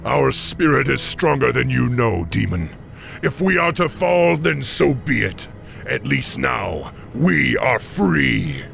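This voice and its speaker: An actor playing a proud, defiant orc warrior in a video game, grim and gravelly. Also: male voice, mid-range voice, big pitch swings, slow pace, fairly bright tone, exaggerated voice. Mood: defiant resolve. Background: game music.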